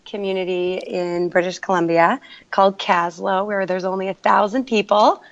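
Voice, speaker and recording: A woman's voice: mid-range voice, fairly even intonation, moderate pace. Woman teacher, lo-fi audio. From Canada. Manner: earnest, enthusiastic storytelling. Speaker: teacher, podcast guest. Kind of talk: conversational interview. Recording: dull lo-fi remote audio.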